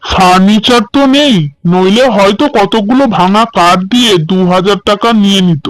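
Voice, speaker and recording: A man's voice: high-pitched, moderate pace, a bit bright, no echo, rough recording. A man playing an ordinary office clerk in colloquial, questioning dialogue for a cartoon story. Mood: doubtful. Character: two male office clerk friends house-hunting, conversing.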